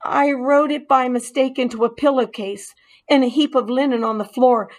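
A woman's voice: sits high, steady tone, moderate pace, voice pushed up, natural voice. A woman reading aloud and voicing a small, anxious young male mouse in a storybook, earnest and apologetic. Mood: ashamed.

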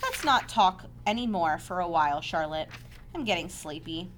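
A woman's voice: fairly low pitch, animated, moderate pace, fairly bright tone, slight background noise. A woman voicing an innocent young male pig in a storybook, earnest, childlike and eager, with an anxious, affectionate temper. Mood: sleepy, downcast.

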